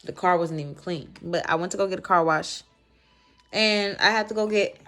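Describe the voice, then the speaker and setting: An American woman telling a story in a casual, slangy, conversational way with animated asides, as a vlogger talking to camera. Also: lowish voice, normal speed, soft background music.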